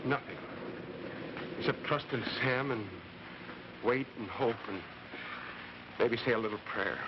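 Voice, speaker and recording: An actor playing an earnest, grimly resolute loyal friend in a 1950s sci-fi television drama. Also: male voice, medium pitch, unhurried, lightly acted. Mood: resigned. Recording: muffled, very noisy vintage 1950s broadcast.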